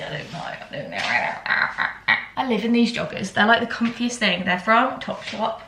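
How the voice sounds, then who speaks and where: A young woman of about twenty, chatting to camera at home in a rambling, self-deprecating way, her voice still slightly croaky. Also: a little high, a little hiss or hum. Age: around 20. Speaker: vlogger. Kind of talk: casual chat to camera.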